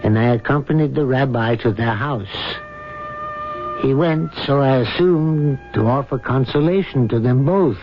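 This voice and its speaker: A calm, watchful man telling a tale, played by an actor in an old radio play. His voice is mid-pitched and very unhurried, deliberate and philosophical. The recording is dull, with music behind. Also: lightly acted. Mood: reflective. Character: unruffled, observant male witness telling the tale. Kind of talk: radio drama narration and dialogue.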